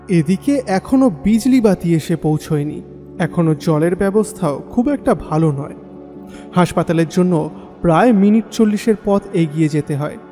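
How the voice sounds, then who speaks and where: A man's voice: on the high side, warm tone. A man playing a dutiful, eager young village son in an audio drama, with dialogue and first-person storytelling. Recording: long hall reverb, music underneath.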